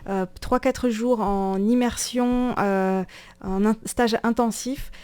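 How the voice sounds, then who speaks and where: A woman presenting in a conversational radio discussion, explaining things with frequent hesitant pauses. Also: on the high side, fairly even intonation, darkish tone, clear, resonant tone.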